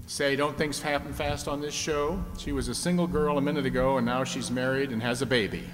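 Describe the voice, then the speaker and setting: A man's voice: medium pitch, steady tone, brisk, natural voice. A man playing a genial, teasing game show host on a 1950s style radio audience show, with playful, folksy patter. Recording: echoey hall, clear background noise.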